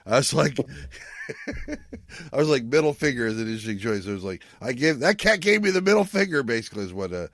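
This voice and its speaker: A man co-hosting a podcast, chatting casually and retelling a story in a jokey, animated way with acted-out quotes. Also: mid-range voice, fairly quick, dry recording. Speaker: podcast co-host. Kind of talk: casual recap chat.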